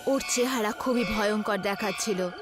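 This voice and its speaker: A woman narrating a scripted horror story in a dramatic storytelling manner, with loud music behind her. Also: mid-range voice, moderate pace. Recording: loud music bed over the voice.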